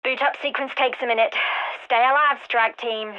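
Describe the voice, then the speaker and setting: An actress playing a brilliant, wry and determined scientist in a video game, speaking articulately in scripted lines and journals. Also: female voice, a little high, steady tone, normal speed, clean tone, no room sound, lo-fi sound, close to an everyday voice. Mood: urgent, businesslike. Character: brilliant wry female scientist, guilt-ridden, urgent and determined. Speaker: scientist character.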